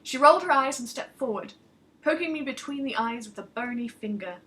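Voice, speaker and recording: A woman reading a first-person story aloud with expressive narration, as an author performing her own work. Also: high voice, brisk, rough recording.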